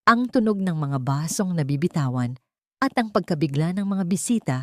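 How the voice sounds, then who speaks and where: A woman's voice: animated, normal speed, a little mellow, no echo. A woman with a Filipino accent narrating a dramatic audio story in the first person, lifting her voice for the characters' lines. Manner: rises in pitch and volume for quoted dialogue.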